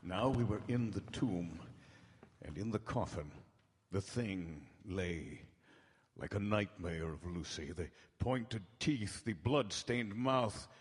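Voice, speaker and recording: An actor playing a grave doctor, recounting horrors from his journal in measured, dramatic radio-drama phrasing. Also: low voice, lively intonation, slightly rough, heavily acted. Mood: grave dread. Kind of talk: live radio drama narration in 1930s-40s manner. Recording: live stage broadcast in reverberant hall.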